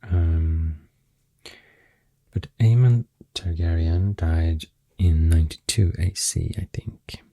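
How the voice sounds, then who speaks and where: A man with a Swedish accent doing soft-spoken ASMR, half-whispering in a hesitant, unhurried ramble close to the microphone. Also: deep voice, fairly even intonation, creaky, dry recording. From Sweden. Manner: hesitant, unhurried, halting rambling in short phrases. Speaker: ASMR creator and host. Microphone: close, dry microphone.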